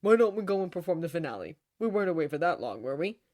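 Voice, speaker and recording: A woman's voice: on the low side, sing-song, quick pace, a little mellow. A woman voicing a sheepish, boastful devil king and father with flustered, defensive bravado.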